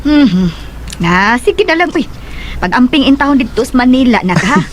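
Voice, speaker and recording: A woman's voice: high voice, animated, moderate pace. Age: middle-aged. Sex: female. Role: fretting mother in a radio drama. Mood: relenting. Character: caring mother of a grown son.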